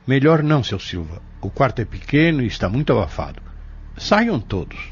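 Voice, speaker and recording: A Brazilian man playing a calm, reserved pharmacist in an audio drama, polite and measured with gentle authority. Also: mid-range voice, husky. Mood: firm, polite. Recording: dull lo-fi audio.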